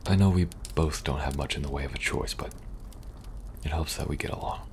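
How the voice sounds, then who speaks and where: A man playing a weary, kind young government agent in a soft-spoken, halting ASMR roleplay. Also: extremely low pitch, a little hiss or hum. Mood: calm, reassuring. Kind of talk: intimate ASMR audio roleplay.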